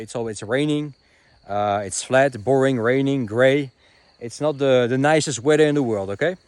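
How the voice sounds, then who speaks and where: A man's voice: medium pitch, darkish tone, no echo. A young Dutch-born man whose first language is Dutch, talking casually to camera outdoors in the countryside and often dropping in "you know" and "uh". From Netherlands. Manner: conversational, frequent fillers like "you know" and "uh". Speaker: vlogger.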